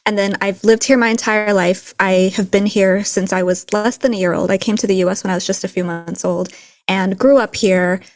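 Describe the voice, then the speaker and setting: A woman raised in a Texas border town, co-hosting a podcast in a relaxed, reflective way with light laughs. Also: mid-range voice, moderate pace, dry recording. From Philippines, raised in a Texas border town. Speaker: podcast co-host. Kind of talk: casual conversational podcast chat.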